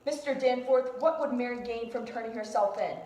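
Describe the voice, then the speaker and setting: A woman's voice: a little high, brisk, a little mellow, natural voice. Woman as a defiant, sardonic husband, echoey room. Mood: argumentative. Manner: argumentative, sardonic. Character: defiant farmer husband defending his accused wife. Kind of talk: amateur puppet-show drama acting.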